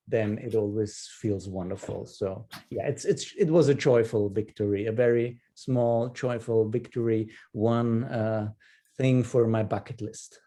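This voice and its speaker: A man in his fifties with an Austrian accent, a native German speaker, telling personal stories haltingly in an online group session. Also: medium pitch, warm tone. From Upper Austria. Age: 50s. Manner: hesitant, halting delivery with repeated words. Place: video call.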